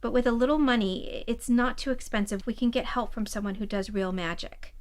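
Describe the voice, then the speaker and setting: A woman's voice: on the high side, brisk, natural voice. An actress playing an earnest, caring young woman, a hesitant but practical friend, in an audio drama.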